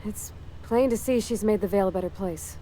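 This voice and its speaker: A woman's voice: medium pitch, fairly quick, dark tone, a little hiss or hum, natural voice, rough recording. A woman voicing an earnest, kind-hearted young colony mechanic in a video game, hesitant and apologetic, with folksy phrasing. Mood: earnest, troubled. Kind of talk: naturalistic video game dialogue acting.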